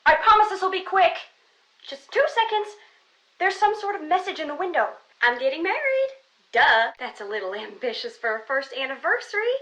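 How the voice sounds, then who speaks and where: A young ghost-hunting woman in a mystery game. Her voice is high and bright and swings widely in pitch. She is theatrical and playful, with a sarcastic, teasing streak. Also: sing-song, moderate pace, full character voice, rough recording. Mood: playful, wry. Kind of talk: scripted game voice acting.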